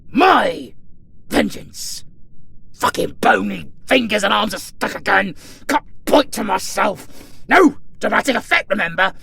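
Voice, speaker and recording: A British man voicing a skeletal undead necromancer in an audio roleplay, a vengeful, flirtatious villain with a creaky, melodramatic delivery that moves between hushed and screamed. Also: sits high, animated, a little slow, full character voice. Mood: flustered exasperation. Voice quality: creaky, breaking into shrieks and whispers. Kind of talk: audio roleplay acting, ASMR-leaning.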